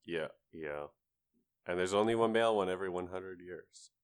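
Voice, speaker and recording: A man's voice: sits low, moderate pace, smooth. A man hosting a podcast, telling things in a casual, conversational storytelling way. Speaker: podcast host.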